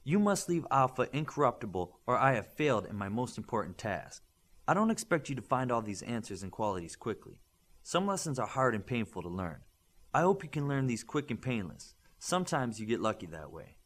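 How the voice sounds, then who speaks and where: A male narrator plays a stern mentor of young superheroes in an audiobook. He lectures firmly and with principle, leaning on rhetorical questions, his mid-pitched voice rising and falling emphatically. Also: very animated, brisk, a bit bright. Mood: earnest, firm. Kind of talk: audiobook character dialogue.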